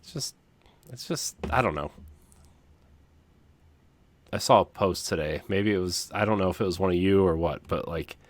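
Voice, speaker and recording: Low-voiced man, halting casual podcast chat. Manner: halting, hesitant, trails off. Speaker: podcast co-host.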